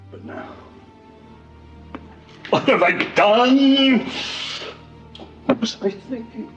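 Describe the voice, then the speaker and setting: Casting: a man to play an earnest, wounded fisherman disciple for Passion drama; halting, emotional, mid-pitched, echoing hall. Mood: anguished regret. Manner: halting, emotional storytelling with swells and hushed drops. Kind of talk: church Passion play monologue. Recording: echoey church hall, dull sound, music.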